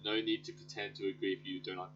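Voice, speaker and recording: A man's voice: low voice, little pitch movement, quick pace, heavy background noise. A man giving casual, chatty, offhand let's play commentary as he reacts to a game. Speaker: gaming video host. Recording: dull lo-fi mic, game music behind.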